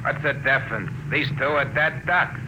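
A man's voice: mid-range voice, normal speed, lightly acted. Actor as a callous gangster henchman, noisy radio. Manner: slangy, callous. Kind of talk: old radio drama acting. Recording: noisy old radio broadcast.

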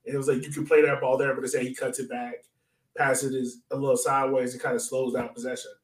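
A man's voice: medium pitch, level tone, fast. A man co-hosting a casual soccer fan podcast, talking informally and breaking down a match. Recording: echoey room, far from mic.

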